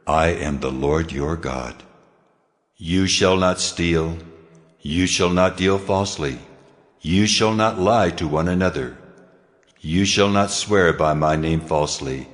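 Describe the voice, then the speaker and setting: Brief: God, very deep, slow and grave, long reverb, faint music bed. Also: male voice. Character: God speaking laws, solemn commanding male deity. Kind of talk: dramatized scripture reading.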